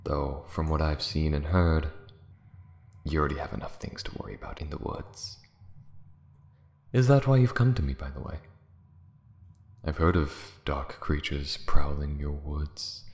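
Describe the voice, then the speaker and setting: A man playing a proud, bitter, ancient dragon in a fantasy ASMR roleplay, brooding and scornful, theatrical, dipping into hushed breathy tones. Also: rumbling low, lively intonation, a little slow, long pauses, exaggerated voice. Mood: probing. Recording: roomy echo, faint background noise.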